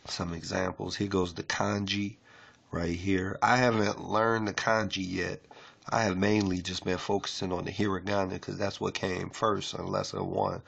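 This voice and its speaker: A man casually streaming as he works through a language lesson, rambling with fillers and reading aloud haltingly with restarts. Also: sits low, flat delivery, creaky, a bit bright. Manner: rambling, loose and unpolished, with many fillers. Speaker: self-taught learner reading a lesson. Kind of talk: casual screen-share stream.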